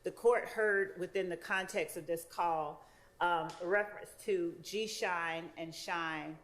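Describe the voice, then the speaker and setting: A woman speaking as a prosecutor, making a formal, deliberate and somewhat halting argument to the court. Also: fairly low pitch, steady tone, fairly bright tone.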